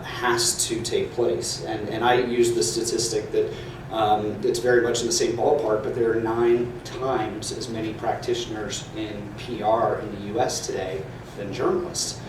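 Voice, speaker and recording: A man speaking on a conference panel in a measured, earnest way, with frequent fillers. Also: lowish voice, steady tone, noisy background. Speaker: panelist, public relations professor. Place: large echoey conference hall. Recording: echoey hall audio from far off.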